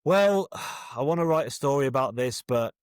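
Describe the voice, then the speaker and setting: A man's voice: medium pitch, animated, normal speed, clear, resonant tone, no room sound, clean studio sound. A British man talking casually as a guest author, wry, often hesitating and correcting himself mid-thought. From Birmingham, England. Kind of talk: casual interview conversation.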